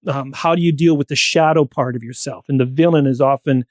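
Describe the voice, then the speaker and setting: A man's voice: medium pitch, fairly even intonation, a little fast, a little mellow, dry recording. Man explaining ideas, close dry sound. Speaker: podcast guest, playwright and actor. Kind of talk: thoughtful panel discussion.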